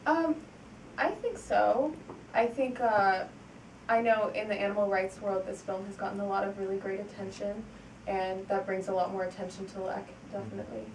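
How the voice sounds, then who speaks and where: A woman's voice: mid-range voice, steady tone, normal speed, slight background noise. Woman, panelist, earnest, encouraging, echoey, distant mic. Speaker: panelist, animal rights advocate. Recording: echoey hall, far from mic.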